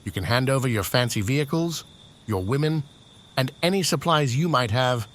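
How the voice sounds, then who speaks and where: A man's voice: medium pitch, lively intonation, moderate pace, natural voice. A man voicing a taunting, demanding raider villain in a post-apocalyptic audio comic. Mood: menacing, taunting. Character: menacing, demanding small-statured raider villain. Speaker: voice actor. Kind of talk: audio comic dub, dramatic dialogue. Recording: noisy, with outdoor-style sound effects.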